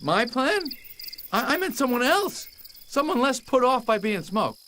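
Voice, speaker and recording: A young cartoon bee, high and animated in pitch. He sounds wry and incredulous, an earnest reluctant hero in a video game. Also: measured pace, slight background noise, exaggerated voice. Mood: nervous, reluctant.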